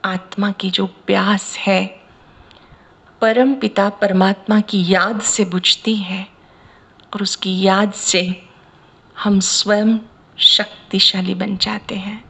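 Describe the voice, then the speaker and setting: A woman from India leading a guided meditation as a spiritual teacher, speaking in measured, deliberate short phrases with pauses. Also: medium pitch, level tone, vocal fry, fairly bright tone, slightly rough. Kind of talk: slow guided meditation discourse.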